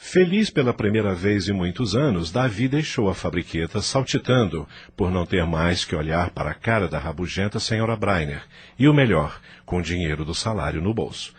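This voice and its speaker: A man's voice: low-pitched, lively intonation, a little fast, hardly any pauses, husky, faint music behind. A man narrating a scripted audio novel as its storyteller.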